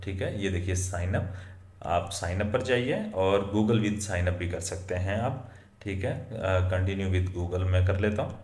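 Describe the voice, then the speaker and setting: Man, tutorial host, low-pitched voice, instructive step-by-step explaining, echoey room recording. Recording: echoey room, slightly muffled.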